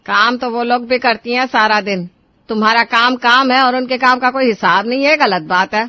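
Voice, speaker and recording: A woman voicing an exasperated, scolding mother-in-law in an animated family story. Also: on the high side, normal speed, dry recording. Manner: scolding, emphatic. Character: exasperated mother-in-law scolding her lazy grown sons.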